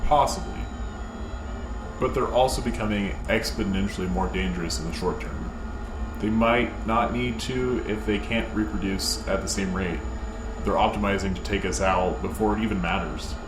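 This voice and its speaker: Serious male army researcher played by an actor, low register, deliberate explainer for sci-fi radio drama, echoey sound. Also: natural voice. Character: serious, analytical male military scientist. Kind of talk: sci-fi audio drama dialogue. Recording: echoey room under music.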